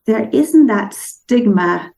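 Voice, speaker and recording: Woman from denmark, gravelly voice, chatty guest telling a story, stops to hunt for words now and then. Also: fairly high pitch, expressive, slow pace. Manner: warm storytelling with restarts and searching for words. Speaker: guest author. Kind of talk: casual interview chat.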